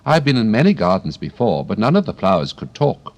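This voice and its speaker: A polite, curious young girl from a storybook, as a man puts her on. She asks her questions earnestly, in a gently raised and lively voice. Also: lowish voice, fairly quick, dull audio, voice pushed up. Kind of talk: storybook reading.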